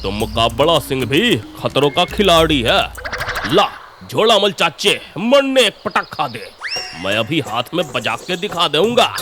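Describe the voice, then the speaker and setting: A man's voice: fairly high pitch, big pitch swings, a little slow, over music. A man voicing a boastful, reckless village strongman in a comedy cartoon, blustering with a Haryanvi accent. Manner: swaggering, blustering. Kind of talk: Hindi cartoon comedy voice acting.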